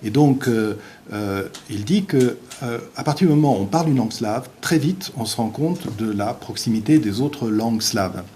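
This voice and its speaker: Halting, mellow-voiced male lecturer. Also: mid-range voice, lively intonation, slight background noise. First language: Portuguese. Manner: halting, many restarts and filler sounds. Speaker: visiting university lecturer. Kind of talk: academic lecture. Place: university lecture room.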